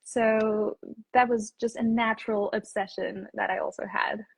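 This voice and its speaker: Slightly husky young Polish woman, American-sounding English. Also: medium pitch, fairly even intonation, unhurried. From Poland. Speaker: English teacher as interview guest. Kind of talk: casual interview chat. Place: indoors on an online video call.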